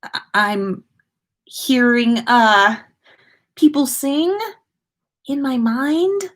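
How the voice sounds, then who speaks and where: Storybook role: anxious teen wizard boy, read by a woman, halting stammer, pitch often lifting. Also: animated, heavily acted. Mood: nervous, shaken. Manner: stammering, hesitant. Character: flustered, nervous teenage wizard schoolboy.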